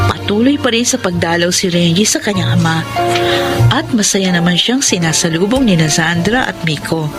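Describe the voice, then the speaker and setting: A woman's voice: mid-range voice, lightly acted. A woman acting in a radio drama as a devoted, grateful single mother, speaking in an earnest, emotional way. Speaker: lead voice actor and first-person narrator. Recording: loud music under the voice.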